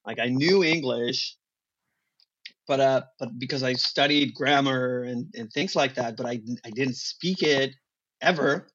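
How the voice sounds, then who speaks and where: A man whose first language is Spanish, a guest talking casually about acting and languages in an animated, emphatic way. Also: mid-range voice, measured pace, highs cut off. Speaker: guest, actor. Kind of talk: casual interview talk.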